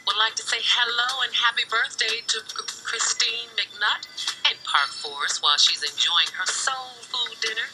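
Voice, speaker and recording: A woman's voice: fairly high pitch, normal speed, rough recording. An American woman hosting a gospel music radio show as an announcer, with upbeat, cheerful DJ patter. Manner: cheerful, greeting listeners and reading dedications. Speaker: radio announcer and guest host. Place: radio studio. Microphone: broadcast studio microphone. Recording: radio aircheck with music underneath.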